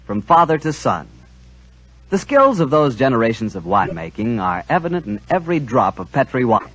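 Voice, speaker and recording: An American man announces in polished 1940s radio style. His pitch moves freely and his voice has a slightly husky grain. The recording is dull and lo-fi. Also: a bit bright, no room sound. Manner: polished, salesmanlike.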